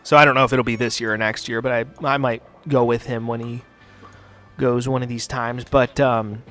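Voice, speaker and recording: A man's voice: medium pitch, little pitch movement, moderate pace, a bit bright, soft background music. A young man from the United States, hosting a gaming channel and rambling casually with plenty of swearing and filler words. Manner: profane, rambling, filler-heavy. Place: home basement studio.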